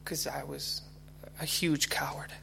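A man preaching his testimony through an interpreter, halting and repeating words. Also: on the high side, level tone, vocal fry, pushed and bright, slightly rough. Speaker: speaker giving testimony.

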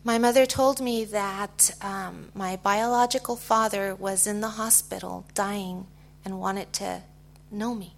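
A middle-aged woman with an American accent giving a personal testimony in short phrases, pausing for an interpreter. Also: mid-range voice, fairly even intonation, vocal fry, a little hiss or hum. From United States. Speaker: guest speaker giving testimony.

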